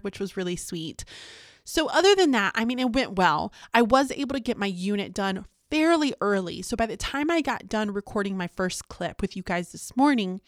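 A woman speaks casually to her vlog audience in a medium-pitched voice. Her manner is chatty and candid, readily self-deprecating, as if confiding in friends. The sound is dry, with very little room echo. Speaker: teacher and vlogger.

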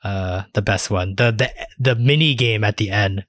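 A man's voice: fairly low pitch, normal speed, fairly bright tone, no echo, studio quality. A man chatting casually as a podcast co-host about video games, swearing freely. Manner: casually profane.

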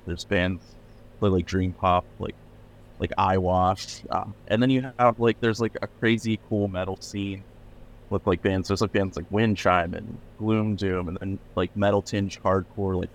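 Deep-voiced pennsylvania guy rambling about his label, lots of likes and restarts. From Pennsylvania, USA. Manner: rambling, many "like" fillers and restarts. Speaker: record label owner, guest. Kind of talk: casual podcast interview.

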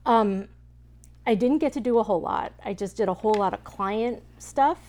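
A woman co-hosting a podcast in casual chat, talking haltingly with many ums and restarts. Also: medium pitch, a little mellow. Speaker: podcast co-host.

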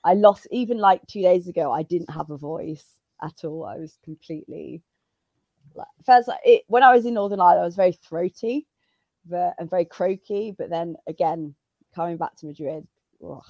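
A woman with a slightly hoarse, lowish voice tells her story in a loose, chatty way, full of ums and restarts. The sound is dull and lo-fi, with a dry, close feel. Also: normal speed, mellow, no echo. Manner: chatty, many fillers and restarts. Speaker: jiu-jitsu competitor, guest. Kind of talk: casual interview storytelling.

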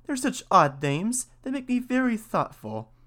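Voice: medium pitch, sing-song, measured pace, a bit bright, voice pushed up. A person voicing a small, trusting, easily indignant female mouse in a storybook fairy tale. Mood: suspicious.

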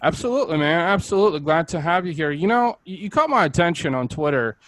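A man hosting a podcast with a hoarse voice, blunt and crude-spoken, in casual chat. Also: fairly high pitch, lively intonation, fast talker, fairly bright tone, no echo, poor audio. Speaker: podcast host. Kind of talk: casual podcast intro with profanity.

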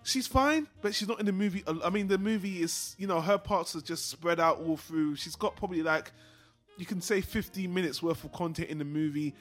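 A man's voice: sits high, fairly quick, soft background music. A man co-hosting a film discussion show, giving blunt opinions in a casual, sweary style.

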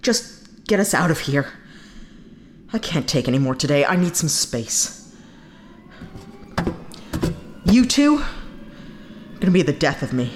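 A woman's voice: low-pitched, very animated, a little slow, long pauses, slight background noise. A woman playing a bossy, exasperated, trigger-happy spaceship captain, curt and impatient, in a sci-fi audio drama.

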